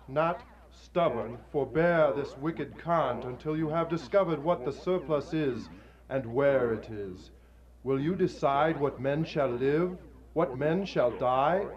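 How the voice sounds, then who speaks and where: An actor playing a stern, solemn spirit in a radio drama, grave and declamatory. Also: male voice, a little high, darkish tone, natural voice. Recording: dull student radio recording.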